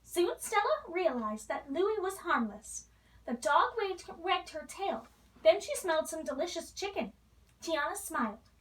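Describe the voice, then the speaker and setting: A woman reads a children's story aloud in an expressive storybook style, playing the role of a princess. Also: extremely high pitch, measured pace, recorded from a distance, poor audio.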